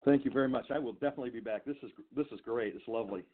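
A man calling in as a poet, chatting briefly and casually in a husky voice. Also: medium pitch, racing. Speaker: caller and poet. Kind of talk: brief, casual phone chat. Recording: muffled, narrow phone line.

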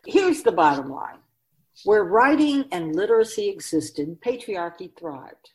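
A woman's voice: mid-range voice, sing-song, unhurried. A woman playing a wise otherworldly keeper of souls in a radio drama, teaching humanity in an emphatic, oracular manner with rhetorical questions. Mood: grave, lecturing. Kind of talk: radio drama dialogue, lecturing.

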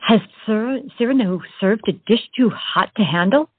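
An actress playing a melodramatic announcer who narrates teasers between acts of a comic love story. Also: female voice, on the high side, normal speed, no room sound, lightly acted. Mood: teasing, grand. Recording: muffled recording with faint music.

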